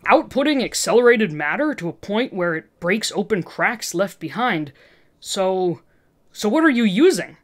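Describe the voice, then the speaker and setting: A woman voicing a quick-witted, curious young male superhero who jokes and stammers a little, in a fan fiction reading. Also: medium pitch, very animated. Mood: probing curiosity. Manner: joking, slightly stammering, inquisitive.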